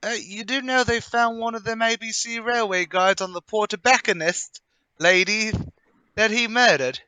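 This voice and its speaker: A man's voice: sits high, moderate pace, pushed and bright, clear, resonant tone, no room sound. A man voicing a chatty, plain-spoken young working-class man in a radio mystery drama. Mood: sympathetic, curious. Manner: colloquial, folksy, chatty.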